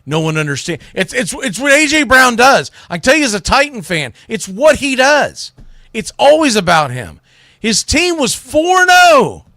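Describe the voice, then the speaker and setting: A man's voice: very high-pitched, big pitch swings, moderate pace, sharp tone, dry recording. A man hosting sports talk radio, giving blunt, exasperated, folksy opinions into a close microphone. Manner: exasperated ranting, blunt, mildly salty, folksy.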